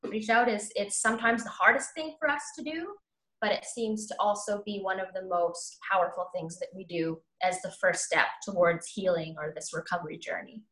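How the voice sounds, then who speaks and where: A woman's voice: mid-range voice, normal speed, fairly bright tone. A woman guest on a podcast speaking earnestly and encouragingly, candid and a little profane. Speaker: podcast guest, recovery advocate. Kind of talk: casual interview chat. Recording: roomy echoey sound.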